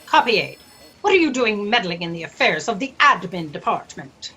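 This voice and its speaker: A woman's voice: mid-range voice, big pitch swings, normal speed, pushed and bright, husky, exaggerated voice. A woman playing a stern admin superior in a sci-fi satire audio drama.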